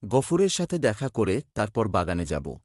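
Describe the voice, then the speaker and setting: Low-voiced honest village farmer acted by a man. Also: sing-song, normal speed, darkish tone, dry recording, studio quality. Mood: earnest. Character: honest, hard-working, humble young village farmer.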